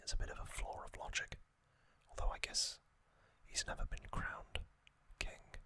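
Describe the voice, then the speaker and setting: A man whispering an unhurried ASMR recap, with soft pauses and frequent ums. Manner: unvoiced whisper, slow and relaxed with frequent ums. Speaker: ASMR channel host.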